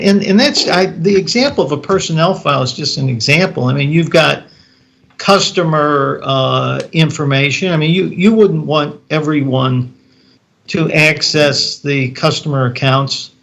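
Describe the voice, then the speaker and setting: Halting, gravelly-voiced man questioning. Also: fairly high pitch, a bit bright. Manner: halting, restarting, probing questions. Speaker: board committee member. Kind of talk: questioning at a public meeting.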